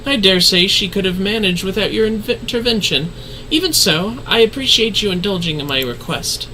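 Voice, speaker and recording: A man's voice: sits high, expressive, moderate pace, pushed and bright, slight background noise, low-quality recording. A man voicing a refined, earnest woman leader in a fantasy game, speaking in formal, solemn, archaic phrasing. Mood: warm, formal.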